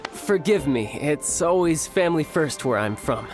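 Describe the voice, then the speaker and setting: A man's voice: fairly high pitch, expressive, a little slow. An actor playing a cocky, playful young male warrior who dotes on his little brother, in a video game. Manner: playful, teasing, courteous. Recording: game audio with faint music.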